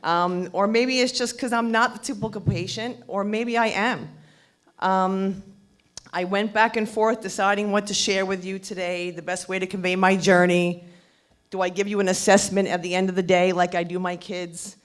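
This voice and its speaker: A woman in her fifties speaking loudly and with self-deprecating humor as she tells her own story to an audience. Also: medium pitch, flat delivery, moderate pace. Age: 50s. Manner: loud, joking, self-deprecating. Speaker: patient speaker. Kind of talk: personal story at a public event.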